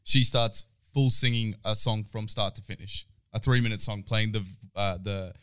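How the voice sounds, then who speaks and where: A man's voice: lowish voice, steady tone, normal speed, a bit bright, clean tone. A young man in his twenties, casually telling a swear-filled story with a laugh now and then. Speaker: podcast co-host. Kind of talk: casual chat.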